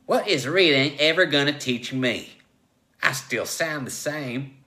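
A brash, demanding tiger-man from a comic story, acted out by a man. The voice is forceful and sharp, with crude, folksy phrasing and a bossy, impatient temper. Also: medium pitch, measured pace. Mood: angry, exasperated. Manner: demanding, foul-mouthed, folksy grammar. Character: brash, impatient male prisoner turned lustful giant tiger. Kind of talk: comic live story reading.

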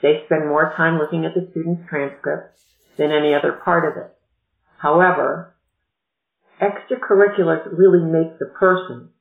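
A woman educational consultant explaining college admissions in a measured, explanatory way during a podcast interview. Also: low voice. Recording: echoey, tinny phone-like line.

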